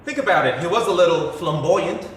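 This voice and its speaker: A man's voice: on the high side, animated, a little fast, lightly acted. Cocky younger brother in echoey theatre, acted by a man. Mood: mock-grand, teasing. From Tanzania. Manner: wisecracking, theatrically projected. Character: cocky, flippant younger brother, spoiled heir. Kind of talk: stage play dialogue. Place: theatre stage, picked up from a distance. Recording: echoey theatre, distant mic, faint noise.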